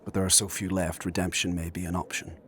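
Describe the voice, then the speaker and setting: A man voicing an ancient, imperious spirit mentor in a dark fantasy audio drama, husky and commanding, with formal, condescending speech. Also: low-pitched, level tone, fast, a little hiss or hum. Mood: stern, condescending.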